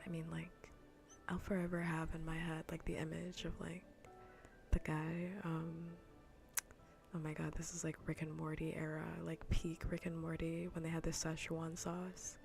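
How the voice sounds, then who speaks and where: A woman speaks softly and close to the microphone, often slipping toward a breathy whisper. Her voice sits a little low with a clear crackle of vocal fry, and it stays fairly even in tone. She rambles playfully in the manner of a cozy ASMR gaming stream. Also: moderate pace, faint music behind. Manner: playful, rambling, half-whispered casual talk. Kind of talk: soft-spoken ASMR gaming livestream chat.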